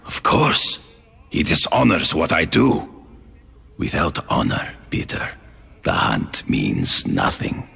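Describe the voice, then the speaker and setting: An actor playing a proud, honor-bound aging hunter in a video game cutscene, speaking in a grave, measured, formal way, with music behind him. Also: male voice, a bass voice, wildly swooping pitch, creaky, pushed and bright, breathy edge, heavily acted.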